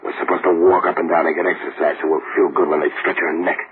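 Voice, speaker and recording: An actor playing a streetwise death-row convict who talks in tough slang, in old radio drama style. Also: male voice, deep voice, level tone, fast, close to an everyday voice. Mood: scheming. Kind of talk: 1940s radio acting. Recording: tinny hissy old radio broadcast.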